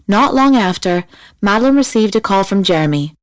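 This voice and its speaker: A woman narrating a scripted audiobook in a measured, dramatic manner. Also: medium pitch, lively intonation, dry recording.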